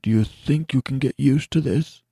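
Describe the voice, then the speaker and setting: A man's voice: medium pitch, normal speed, warm tone, husky, dry recording, pitched above the performer's own voice. A man voicing a disfigured, anguished young husband who speaks in a mournful, pleading way.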